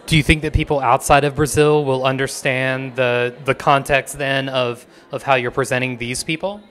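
A man's voice: medium pitch, steady tone, moderate pace, a bit bright. A man in his thirties interviewing an artist in a gallery, framing questions hesitantly with many fillers, speaking into a handheld mic. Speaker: arts interviewer.

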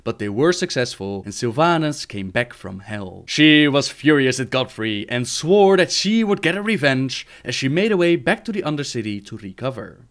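A man's voice: medium pitch, big pitch swings, normal speed, few pauses, a bit bright. A man reading scripted video game lore as a narrator, in a storytelling manner.

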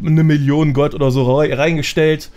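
A man's voice: a little high, little pitch movement, normal speed, a little mellow. A man talking casually as a podcast guest, explaining things in a chatty, self-deprecating way.

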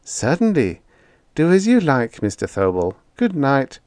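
Man doing a fussy old landlady, high prim voice, lively, dry close sound. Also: unhurried, no room sound. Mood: prying. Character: fussy, nosy older landlady woman.

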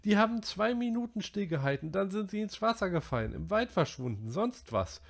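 A man voicing a chubby, anxious schoolboy who pleads in a high, whiny, breathless way. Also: very animated, a little fast, creaky, full character voice. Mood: indignant.

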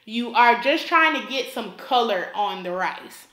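A woman's voice: a little high, lively intonation, a little slow, bright, forceful tone, echoey room. A woman from Alabama hosting a cooking tutorial, talking the viewer through each step and repeating points for emphasis. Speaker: cooking channel host.

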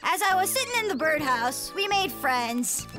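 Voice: high voice, very animated, moderate pace. An actor voicing a childlike, silly cartoon rabbit with a naive, sing-song, repetitive way of talking. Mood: happy, naive. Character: childlike silly happy rabbit, easily upset. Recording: loud cartoon music behind.

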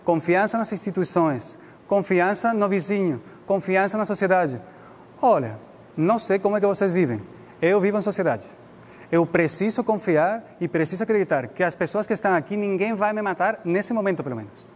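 High-pitched Spanish-accented man lecturing in reverberant hall. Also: lively intonation, brisk. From Spain. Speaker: guest lecturer. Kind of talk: public lecture, informal and conversational. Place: indoor lecture room. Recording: reverberant hall with background noise.

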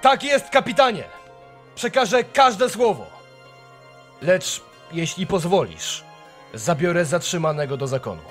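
A man's voice: high-pitched, animated, measured pace, long pauses, soft background music. A man playing a stern elf guard lieutenant in a fantasy audio drama, barking in a clipped military manner. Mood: dutiful, brisk. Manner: shouted, clipped military delivery.

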